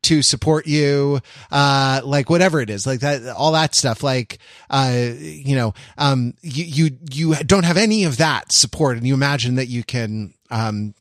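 A man chatting casually as a podcast co-host, thinking aloud in a halting, reflective way and often interrupting himself. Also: medium pitch, no echo, clean studio sound. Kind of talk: casual panel chat.

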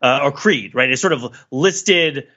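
A man's voice: medium pitch, unhurried, pushed and bright. A man on a casual comedic discussion podcast, analytical and dryly joking, on a slightly lo-fi recording. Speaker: podcast panelist.

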